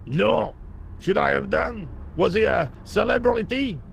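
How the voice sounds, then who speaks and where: Game worker: blustering, scornful labourer, high creaky voice, music in the background. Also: male voice, animated, measured pace, slight background noise, full character voice. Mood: brusque. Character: cocky, gruff, work-shy Parisian workman. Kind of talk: video game dialogue acting.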